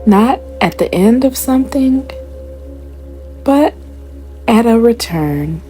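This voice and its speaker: A woman narrates a guided meditation at an extremely slow pace, leaving space between short phrases. Her voice is mellow and slightly breathy, at a medium pitch. She speaks gently and deliberately, with faint music behind her. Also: lively intonation, poor audio.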